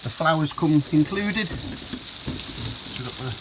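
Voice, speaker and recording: A man's voice: on the high side, expressive, takes it slowly, noisy background. A man giving a chatty walkaround tour of a motorhome, filmed on a handheld camera, talking to viewers as folks. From United Kingdom. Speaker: motorhome dealer and reviewer. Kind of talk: walkaround video review. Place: inside a motorhome, rain outside. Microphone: handheld camera mic.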